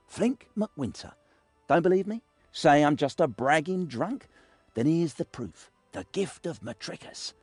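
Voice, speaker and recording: A man's voice: on the high side, a little mellow, no echo. A man voicing a boastful, sneaky little thief in a fantasy video game, theatrical and full of mock innocence.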